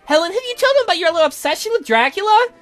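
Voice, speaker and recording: A woman's voice: very high-pitched, big pitch swings, very fast, soft background music, voice pushed up. A woman voicing a bossy, teasing young female best friend in a visual novel, theatrical and gushing. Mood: teasing, curious. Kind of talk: visual novel read aloud in character.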